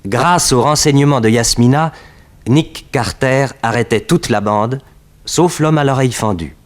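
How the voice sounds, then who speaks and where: A man acting as storyteller of a vintage detective serial. His medium-pitched voice delivers formal, literary narration in a composed 1960s radio style. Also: lightly acted. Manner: measured, literary. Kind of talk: scripted 1960s French radio drama narration.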